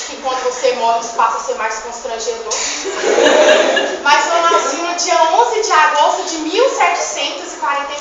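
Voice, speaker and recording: A woman's voice: high voice, close to an everyday voice. A young woman student playing a vain, joking dead poet from the eighteenth century in a school presentation, talking in a theatrical, chiding way to the class. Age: young adult. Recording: echoey room from far off, dull, noisy.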